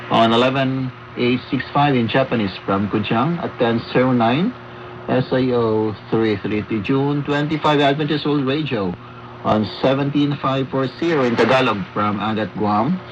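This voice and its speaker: A man's voice: medium pitch, highs cut off. Filipino-accented man on noisy shortwave radio. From Philippines. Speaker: radio DX report correspondent. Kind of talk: scripted report, reading out logs.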